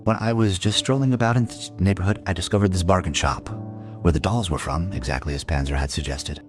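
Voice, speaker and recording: An actor playing a world-weary police investigator in a crime radio play, recounting a case in a reflective, deliberate manner. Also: male voice, sits low, over music, close to an everyday voice. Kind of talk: German crime radio play acting, narrated monologue.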